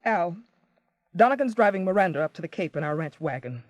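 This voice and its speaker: An American actress playing a neglected, frustrated wife in a radio drama, earnest and wounded. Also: female voice, fairly low pitch, animated, brisk, no echo, close to an everyday voice. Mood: hurt, frustrated. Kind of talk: 1980s radio drama acting.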